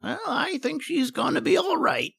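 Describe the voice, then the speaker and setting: An actress playing a tactful family doctor in an old-time radio drama, with a polite, hesitant manner. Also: female voice, sits high, sing-song, normal speed, creaky, background noise, heavily acted. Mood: awkward tact.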